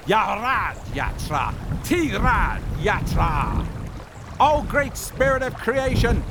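A man's voice: high voice, big pitch swings, takes it slowly, noisy background, heavily acted. A man playing a nervous, reluctant woodland guide in a comedic audio drama, whiny and stammering, eager to please. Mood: solemn chanting. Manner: whiny, stammering, rambling excuses. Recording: clear outdoor water ambience behind.

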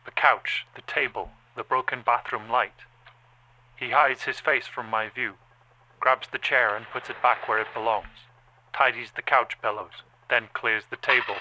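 A man's voice: mid-range voice, sharp tone, rough recording. A man playing an anxious, watchful machine observer, narrating an audio drama in clipped, rhythmic phrases.

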